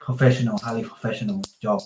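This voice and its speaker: A man's voice: fairly low pitch, fast, a little hiss or hum. A man, chatting casually over a roomy video call. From Thailand. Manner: relaxed, chatty, many fillers like "like" and "you know". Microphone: phone on a video call, no external mic.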